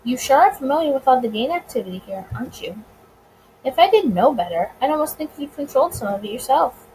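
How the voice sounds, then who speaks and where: A guarded, wry 1920s detective from a visual novel, acted by a woman. The delivery is dry and slightly awkward in a highish voice, over audible background noise. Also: sing-song, fast talker, darkish tone, rough recording. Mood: probing. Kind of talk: visual novel character reading.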